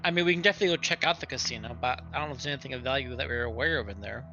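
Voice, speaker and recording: A man's voice: mid-range voice, rapid-fire, pushed and bright, lo-fi sound, faint music behind. A man talking casually as a player in a tabletop roleplaying game.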